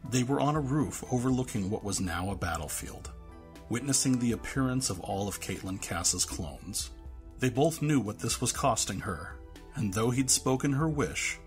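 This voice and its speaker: A man reading scripted narration for an audio drama in a dramatic storytelling manner. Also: medium pitch.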